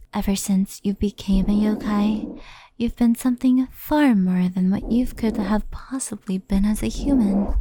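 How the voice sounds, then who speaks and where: A woman voicing a gentle, devoted but anxious female fox spirit in a soft, soothing, often whispery ASMR roleplay. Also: mid-range voice, background noise, natural voice.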